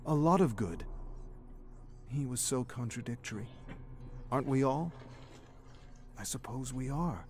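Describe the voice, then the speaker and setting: An actor narrating a dramatic story in a solemn, measured way as a storyteller. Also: male voice, mid-range voice, expressive, lightly acted. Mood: earnest, reflective. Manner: solemn, measured storytelling that also voices the characters' dialogue. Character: solemn storyteller narrating a young man's troubled memories. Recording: background music under the narration.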